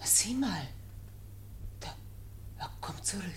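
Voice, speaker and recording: A woman's voice: medium pitch, sing-song, takes it slowly. An actress playing an anxious, tender-hearted woman of the house in a radio drama, flustered and caring, often repeating her words. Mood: uneasy. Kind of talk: German radio drama acting. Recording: distant, echoey, noisy room.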